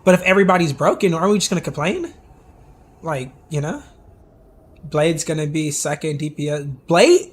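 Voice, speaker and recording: A man with a fairly high, husky voice gone hoarse and worn out talks through game replays on a live stream. He chats loosely with his viewers, restarting sentences and wandering into asides as he goes. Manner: chatty, talks to chat directly, many asides and restarts. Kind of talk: casual live-stream commentary.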